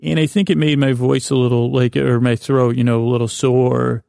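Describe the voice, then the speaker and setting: A middle-aged man delivers a rambling sleep podcast monologue in a creaky, gravelly voice. His pitch is fairly average and moves within a narrow span. He hesitates, restarts and drifts off mid-thought, and the sound is close and dry. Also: level tone, moderate pace, warm tone, no room sound. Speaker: podcast host.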